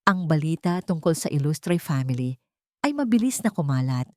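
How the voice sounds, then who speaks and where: A woman's voice: sits low, sing-song, moderate pace, mellow, no room sound, clean studio sound. A woman with a Filipino accent reading a dramatic romance story as narrator.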